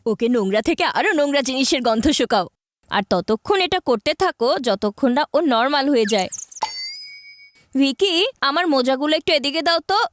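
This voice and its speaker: A woman voicing an excitable, scheming young boy, a bossy older brother, in a cartoon comedy. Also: high-pitched, brisk, dry recording. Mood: smug, scheming. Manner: animated, stammers when flustered. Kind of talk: cartoon dubbing in Bengali comedy.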